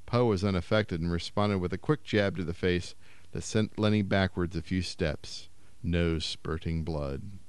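A man reading a short story aloud in a measured storytelling voice. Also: sits low, clear, resonant tone, no room sound. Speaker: story reader.

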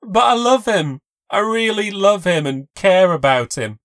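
A distraught young woman who clings to her boyfriend and won't hear a word against him, put on by a male narrator. Her voice rides high and teary, pleading and loud. The story is read aloud by a single storyteller. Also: lively intonation, moderate pace, no room sound, studio quality, natural voice. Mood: tearful.

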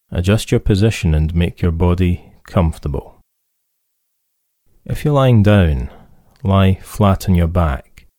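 A man leading a guided relaxation as a therapist, calm and soothing, with measured instructions and pauses. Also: low voice, no room sound, studio quality.

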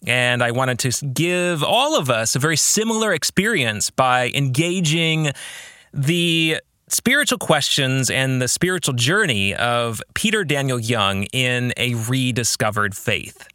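A man's voice: mid-range voice, expressive, unhurried, pushed and bright, no room sound, studio quality. A man in his forties hosting a podcast as an author, speaking in an emphatic, conversational monologue. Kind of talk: podcast monologue introducing a book club.

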